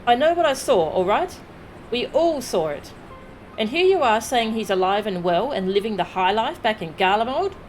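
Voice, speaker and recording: A woman's voice: on the high side, very animated, normal speed, faint music behind, rough recording. A woman voicing a headstrong, emotional young woman resistance leader, reading video game dialogue aloud in an emphatic, exclamatory way. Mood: shocked, disbelieving.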